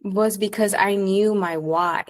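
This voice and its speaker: A woman's voice: mid-range voice, fairly even intonation, a little slow. A woman hosting a coaching podcast, upbeat and enthusiastic as she introduces the show and chats with her guest.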